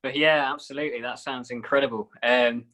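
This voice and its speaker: A man's voice: medium pitch, fairly even intonation, a little fast, sharp tone. A man who is a head coach, hosting an interview and giving short, encouraging reactions. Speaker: head coach and podcast host. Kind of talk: casual interview.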